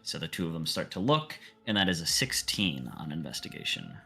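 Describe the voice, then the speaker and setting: A man's voice: deep voice, big pitch swings, a little fast, vocal fry, bright, forceful tone, soft background music. A man playing a tabletop game, playful, describing what his character does. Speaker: player.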